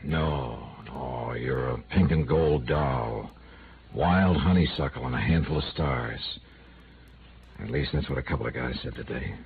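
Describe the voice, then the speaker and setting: Tough noir insurance investigator, low-pitched, wry, clipped delivery, thin vintage radio sound. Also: male voice, measured pace, vocal fry, slightly rough, heavily acted. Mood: dry, guarded. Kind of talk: 1950s radio noir acting and first-person narration. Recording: muffled vintage radio broadcast, phone-like band.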